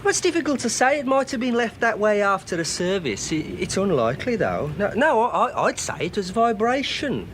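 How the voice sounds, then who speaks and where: A man's voice: sits high, big pitch swings, brisk, few pauses, fairly bright tone, slight background noise. A man playing a deferential garage mechanic in a radio drama, stammering and hesitant.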